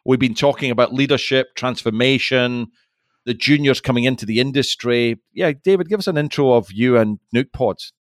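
A man's voice: medium pitch, normal speed, no echo, studio quality. Chatty male podcast host. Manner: chatty, teasing banter. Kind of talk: casual podcast hosting.

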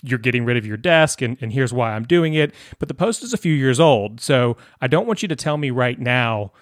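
Man, podcast host, chatty, mid pitch, dry close sound. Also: animated, brisk, no room sound. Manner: chatty, conversational, many fillers. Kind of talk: podcast interview.

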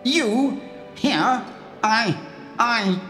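A man's voice: sits high, sing-song, a little slow, a bit bright, soft background music, pitched above the performer's own voice, full character voice. Man voicing a panicky breathless minister. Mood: stammering. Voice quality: breathless, stammering. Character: flustered middle-aged male minister, panicky. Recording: roomy echo.

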